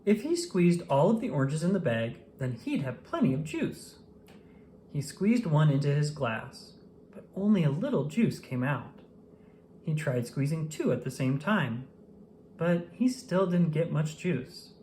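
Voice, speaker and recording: A person reading a children's storybook aloud in an expressive, animated way with dramatic emphasis. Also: medium pitch, unhurried, a little hiss or hum. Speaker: children's book reader.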